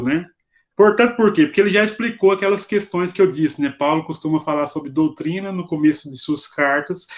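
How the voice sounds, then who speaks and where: A Brazilian man with a fairly high voice teaches scripture on a video call. He speaks as a preacher guiding a study group, explaining step by step in an informal, conversational manner and often checking in with short tag questions. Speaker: preacher leading a Bible study. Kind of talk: informal expository Bible teaching.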